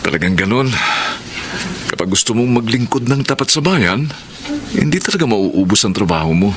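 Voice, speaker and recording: A man's voice: fairly low pitch, big pitch swings, normal speed, crowd noise. A Filipino actor playing an affectionate younger brother who hides his troubles, in a radio drama. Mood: playful, affectionate. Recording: clear background noise and ambience.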